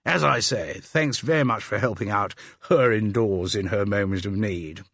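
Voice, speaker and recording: A man's voice: on the low side, moderate pace, a bit bright, no echo, clean studio sound. A man voicing a jovial, blustering man of about sixty with a hearty English public school accent, in an audiobook. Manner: jovial, blustering, chummy tag questions. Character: chubby sixtyish man, hearty, bluff, guffawing cricket enthusiast.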